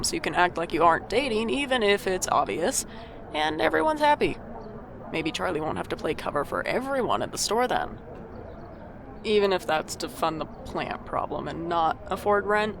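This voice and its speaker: A woman playing a wry, guarded but caring older sibling in an audio drama, with dry, deadpan delivery. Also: mid-range voice, normal speed, vocal fry, noisy background. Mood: blunt, teasing. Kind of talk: audio drama narration and dialogue.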